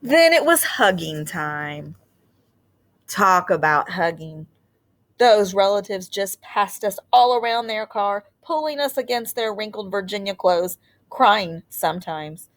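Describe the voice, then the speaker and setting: A woman reading a children's picture book aloud like a teacher, with expressive storytelling and deliberate, drawn-out phrasing. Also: mid-range voice.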